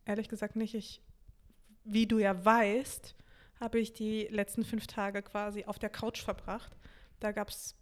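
A woman's voice: mid-range voice, little pitch movement, unhurried. A young woman co-hosting a podcast in casual chat, playful with a dry humor. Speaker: podcast co-host.

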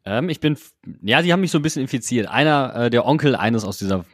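A man's voice: medium pitch, animated, fast, dry recording, studio quality. A man in his thirties from Germany, a podcast guest who answers with a hesitant manner and many fillers. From Germany (Wuppertal).

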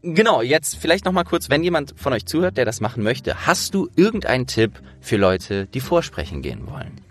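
A man's voice: mid-range voice, very animated, fairly quick, soft background music. A man hosting an interview, explaining things informally and thinking aloud as he goes. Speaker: interviewer and host. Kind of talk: casual conversational explaining.

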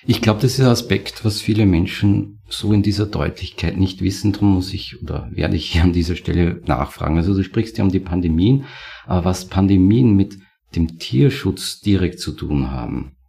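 A man's voice: low voice, normal speed, gravelly, warm tone. A man hosting a podcast interview, asking long, winding conversational questions.

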